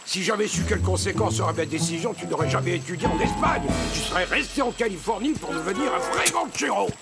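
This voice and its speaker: A proud old father in a cartoon. The voice is gravelly, firm and measured, stern and paternal, over loud music. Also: sits high, animated. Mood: regretful. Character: stern, dignified, stubborn old ranch-owning father. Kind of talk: French cartoon dub acting.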